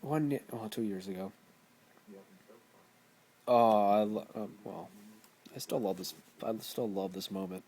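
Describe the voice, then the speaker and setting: Tired, low-voiced young man vlogging. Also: measured pace, long pauses, a little mellow, a little hiss or hum. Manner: swears constantly, rambling. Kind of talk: casual vlog commentary.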